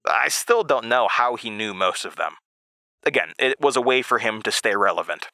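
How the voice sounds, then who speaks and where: A man's voice: medium pitch, bright, forceful tone, no echo. A man playing an earnest, rueful narrator of a horror audio drama, recounting his childhood in conversational, confessional asides. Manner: conversational, hesitant asides to the listener.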